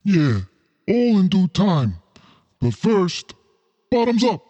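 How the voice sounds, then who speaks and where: A man's voice: high voice, sing-song, slow pace, mellow, lo-fi sound, heavily acted. A man playing a scheming crime boss in a noir radio play, formal and genteel with an edge of menace. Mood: smug, confiding. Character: suave scheming crime boss Fat Cat, noir villain.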